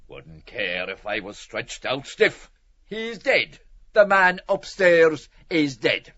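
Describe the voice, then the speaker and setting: Give this middle-aged Irish father a sardonic, blustering theatricality. His pitch swings widely as he mocks and grumbles through a radio-play scene. The recording is a little dull. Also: sing-song, takes it slowly, sharp tone, no echo, heavily acted. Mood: angry, threatening. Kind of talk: literary audio drama dialogue.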